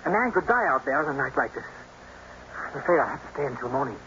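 An actor playing a nervous, polite gentleman traveller who stammers, in an old radio drama. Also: mid-range voice, big pitch swings, quick pace. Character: nervous genteel male traveller lost on the moors. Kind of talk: 1940s radio acting. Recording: muffled old radio recording with hiss.

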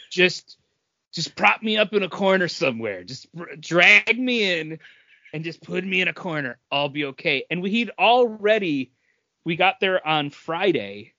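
A man's voice: high voice, lively intonation, measured pace, sharp tone. A man chatting casually as a podcast host, telling anecdotes and acting out what people said. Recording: dull, echoey room sound.

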